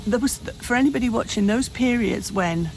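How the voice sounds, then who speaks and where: Gravelly woman in her late fifties. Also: fairly high pitch, normal speed, a little mellow, slight background noise, low-quality recording. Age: 50s. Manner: rambling, frequent restarts and self-corrections. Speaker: vlogger. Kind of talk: candid personal vlog. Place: home, hot room.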